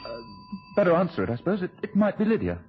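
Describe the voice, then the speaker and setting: An actor playing a scheming, controlling husband in a radio thriller, instructing with quiet urgency. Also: male voice, high voice, wildly swooping pitch, quick pace, background noise. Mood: feigned casual. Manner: urgent, instructing, hushed asides to an accomplice. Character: scheming husband, controlling, quick-thinking, coolly plotting a deception. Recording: muffled, dull old radio drama recording.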